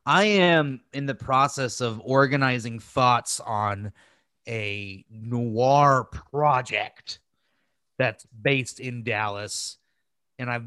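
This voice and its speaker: A man's voice: mid-range voice, pushed and bright, dry recording. American man in a dry, close podcast chat. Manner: informal, profane, conversational. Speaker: podcast co-host. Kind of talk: casual chat.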